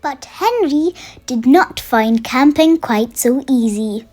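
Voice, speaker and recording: A storyteller reading a children's bedtime picture book aloud in an expressive way, made for young listeners. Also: high-pitched, slow. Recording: music under the voice. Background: music.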